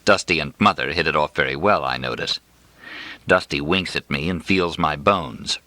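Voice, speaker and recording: Guy reading a novel out loud, deep but bright voice, wry and expressive, really dry recording. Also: moderate pace, no echo. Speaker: audiobook reader. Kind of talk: literary first-person fiction narration.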